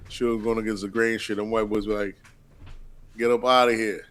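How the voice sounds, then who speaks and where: A man's voice: on the low side, flat delivery, brisk, fairly bright tone, slight background noise. A man co-hosting a podcast in casual chat, joking and swearing loosely, often restarting his sentences. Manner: loose, laughing, swearing banter with frequent restarts. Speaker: podcast co-host.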